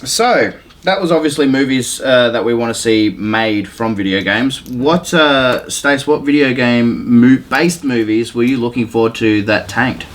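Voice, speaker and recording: A man hosting a podcast in a casual chat, often pausing to think aloud. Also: medium pitch. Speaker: podcast host. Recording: faint room noise behind voice.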